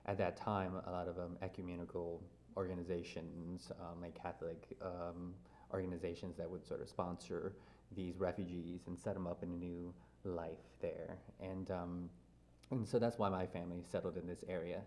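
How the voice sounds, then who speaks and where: Deep-voiced man telling his family story. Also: normal speed, a little hiss or hum. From grew up in Louisiana, USA. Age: 30s. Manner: relaxed, thoughtful, many ums and restarts. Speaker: interview guest. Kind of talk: casual interview.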